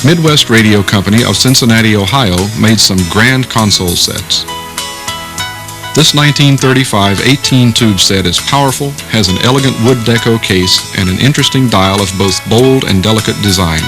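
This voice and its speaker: A man's voice: on the low side, normal speed, over music. A man reading scripted documentary narration.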